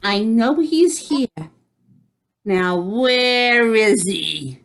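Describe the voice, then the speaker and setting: An actress playing a furious, suspicious nagging wife in a comic old-time radio play. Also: female voice, on the high side, expressive, very slow, creaky, exaggerated voice.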